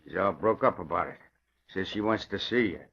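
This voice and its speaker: An actor playing an uneasy, deferential gangster's henchman in an old radio drama, talking in rough ungrammatical slang. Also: deep voice, brisk, a bit bright. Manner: slangy, ungrammatical tough-guy talk. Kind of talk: 1940s radio acting. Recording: dull old radio recording.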